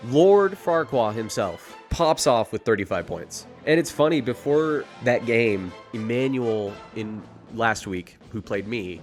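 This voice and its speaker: Young male vlogger, bouncy pitch, rambling and excitable, jokes at his own expense. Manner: hyped, rambling, self-mocking, excitable play-by-play. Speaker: fantasy football league vlogger. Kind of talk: casual rambling recap.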